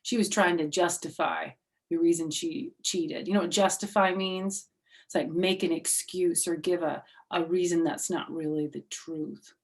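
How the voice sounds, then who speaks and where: A woman's voice: lowish voice, fairly even intonation, moderate pace. A woman storyteller reading a children's book aloud, addressing young listeners directly in simple words.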